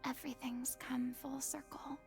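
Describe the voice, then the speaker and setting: A resigned ex-stage star, high and gravelly, slow and hushed, over faint game music. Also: female voice, level tone. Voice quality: soft, gravelly and half-whispered. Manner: gentle, resigned and wistful. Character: weary, graceful young woman, former star of the stage.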